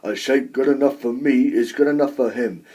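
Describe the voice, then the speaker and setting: A man's voice: medium pitch, moderate pace, mellow, slightly rough, natural voice. A man voicing a grumpy, self-important male steam engine in a children's story, indignant and scolding. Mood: cross, indignant.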